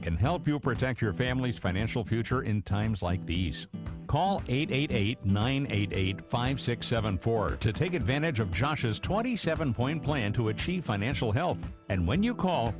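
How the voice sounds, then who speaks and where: Male ad announcer over guitar music. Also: medium pitch. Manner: smooth, steady promotional delivery. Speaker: commercial announcer. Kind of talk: scripted ad read.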